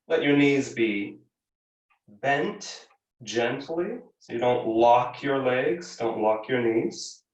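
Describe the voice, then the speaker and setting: A man teaching a guided yoga class, giving gentle, measured instructions with frequent pauses. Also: mid-range voice. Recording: echoey room, distant microphone.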